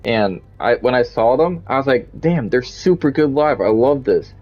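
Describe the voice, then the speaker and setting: A man in casual stream chat, relaxed and teasing, telling stories at length. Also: medium pitch, moderate pace, lo-fi sound, faint music behind.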